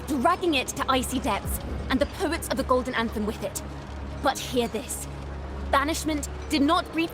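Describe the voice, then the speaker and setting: A woman playing a bold, defiant young poet and orator in a video game, speaking in an earnest, theatrical, declamatory way. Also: high-pitched. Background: background music.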